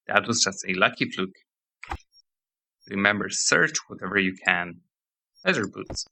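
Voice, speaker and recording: A man giving rambling let's-play commentary on a game, hoarse as he loses his voice, reading menus and game text aloud. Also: lowish voice, expressive, slow, pauses often, bright, forceful tone. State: hoarse, losing voice. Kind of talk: casual gameplay commentary.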